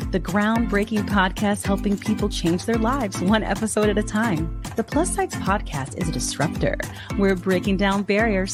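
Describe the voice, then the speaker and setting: Upbeat woman in her forties. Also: medium pitch. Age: 40s. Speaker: podcast co-host. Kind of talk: casual podcast chat and read promos.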